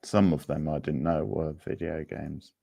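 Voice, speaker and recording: A man hosting a livestream quiz, chatting casually in an unhurried, rambling way as he replies to viewers. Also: very deep voice, gravelly, warm tone, no echo.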